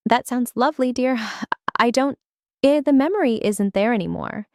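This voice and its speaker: A female narrator plays an elderly mother-in-law in a dramatic audio story. She is frail, sweetly vague and plaintive, feigning confusion with halting phrases. The sound is very dry and close. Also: high voice, a little mellow, no echo, pitched above the performer's own voice, lightly acted. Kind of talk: audio story character dialogue.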